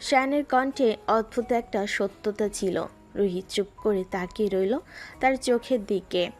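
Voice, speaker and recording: A woman narrating a romantic story in a soft, even storytelling manner. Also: mid-range voice, unhurried. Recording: music underneath.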